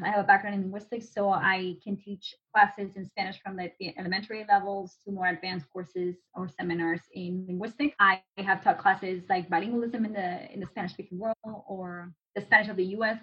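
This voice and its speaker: A woman in her thirties who is an assistant professor, chatting easily with small restarts. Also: medium pitch. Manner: easygoing, with small laughs and restarts. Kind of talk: casual conversational explanation. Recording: slightly muffled lo-fi recording.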